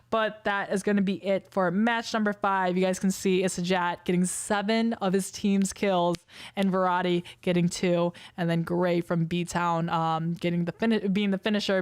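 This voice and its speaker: A young woman casting an esports livestream solo, hoarse from losing her voice, chatty and rambling as she reads out scores and viewer chat. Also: medium pitch, no echo, studio quality. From Wisconsin. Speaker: esports caster.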